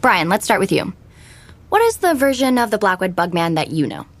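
A woman's voice: mid-range voice, very animated, a little fast. An actress playing an eager, bossy teenage girl hosting an amateur podcast in an audio drama. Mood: eager, organizing. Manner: take-charge, earnest.

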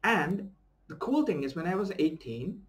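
Upbeat young Indian man, higher voice. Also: very animated, moderate pace. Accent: Indian English. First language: Bengali. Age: young adult. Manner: earnest and enthusiastic, tags sentences with a questioning "okay?". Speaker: life coach. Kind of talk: spiritual self-help talk to camera. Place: small room at home, indoors, seated in a desk chair.

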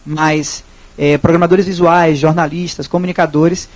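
A man's voice: fairly high pitch, little pitch movement, normal speed. A man in his thirties with a Brazilian Portuguese accent, presenting a technical talk in a small auditorium with a handheld microphone, hesitant and explanatory with frequent restarts. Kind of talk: presentation and audience Q&A.

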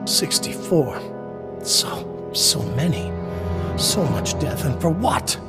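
A distressed desert mayor in a video game. His voice is fairly high and creaky, earnest and pleading. Music plays behind him. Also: big pitch swings, slow, heavily acted. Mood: anguished, pleading. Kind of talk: dramatic video game voice acting.

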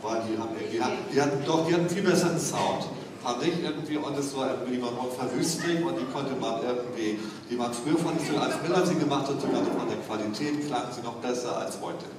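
A man's voice: mid-range voice, quick pace, hardly any pauses. A man talking casually on a stage panel, opinionated and rambling as he goes. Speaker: panel guest from audio drama production. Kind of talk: casual, unscripted panel discussion. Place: stage in a large convention hall. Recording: echoey hall from far off, noisy.

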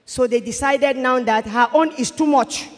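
A woman's voice: high-pitched, moderate pace. A middle-aged woman with a Cameroonian accent giving an earnest testimony as a witness, into a handheld microphone. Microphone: handheld microphone held for her. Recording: echoey large church hall.

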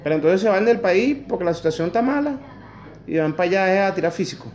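A man's voice: high-pitched, animated, fast, a little mellow. A man in his forties with a Venezuelan accent, recording an angry, blunt opinion video to camera at home, swearing and mocking with sarcastic asides. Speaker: vlogger. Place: kitchen at home indoors. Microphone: phone camera held close. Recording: echoey room on phone.

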